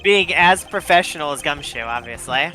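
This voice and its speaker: Bright-voiced streamer building up jokes. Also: medium pitch, lively intonation, moderate pace, soft background music. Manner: enthusiastic, builds up jokes with hesitations. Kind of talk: casual joking commentary.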